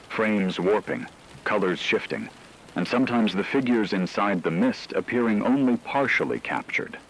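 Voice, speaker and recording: Guy acting as a serious radio anchor, really low voice, measured and formal, rain noise behind him. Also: natural voice, rough recording. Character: grave male radio news anchor reporting a mysterious apocalyptic fog. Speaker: news anchor. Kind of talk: scripted radio news bulletin.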